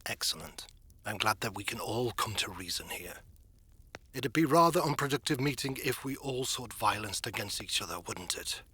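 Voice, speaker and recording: A courteous church dignitary, voiced by a man, speaks in an extremely breathy, husky low voice with a sharp edge. His fantasy-drama delivery is formal, controlled and quietly menacing. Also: animated, normal speed, full character voice. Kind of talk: dramatic audio roleplay acting.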